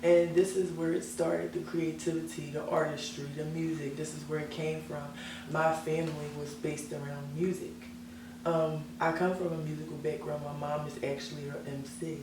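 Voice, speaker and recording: A woman's voice: low voice, level tone, normal speed. A young woman singer as an interview guest, talking about herself in earnest, self-assured casual chat. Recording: echoey room, mic at a distance, background hum.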